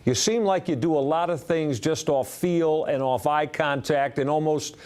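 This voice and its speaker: An American man hosting a sports interview, asking long, winding questions in a halting, conversational way. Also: fairly high pitch, darkish tone. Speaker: sports show host interviewing a guest.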